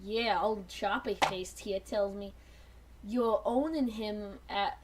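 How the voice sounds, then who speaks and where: A woman's voice: mid-range voice, steady tone, slow, noisy background, voice pushed down, lightly acted. Woman putting on a cheeky Boston wise guy robot. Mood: teasing. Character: cheeky, wisecracking male robot Rat Trap. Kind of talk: amateur dramatic fanfiction reading.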